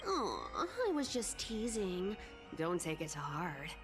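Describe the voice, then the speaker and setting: A young trickster demigoddess from a video game, teasing and breezy. Her unhurried lines swing widely up and down in a sing-song way, with music clearly playing behind her. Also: lowish voice, sing-song, full character voice. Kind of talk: video game character acting.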